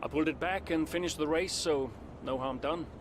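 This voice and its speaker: Actor as proud, frustrated veteran racing driver, noisy backdrop. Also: male voice, fairly high pitch, brisk, lightly acted. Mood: guarded calm. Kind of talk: dramatic video game cutscene acting.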